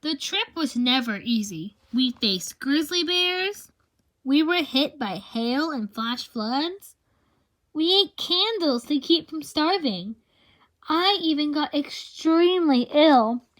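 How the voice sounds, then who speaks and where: A woman with a high-pitched voice reads a picture book aloud for children. She tells it expressively, with deliberate phrasing and drawn-out words for emphasis. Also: expressive, fairly bright tone, poor audio. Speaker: read-aloud storyteller.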